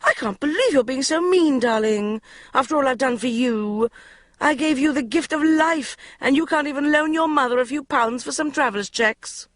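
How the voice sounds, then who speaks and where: A woman voicing a flighty, middle-aged mother who talks in a high-pitched, hysterical, gushing way. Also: normal speed, no room sound, lightly acted. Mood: huffy. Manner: breathless gushing, wheedling.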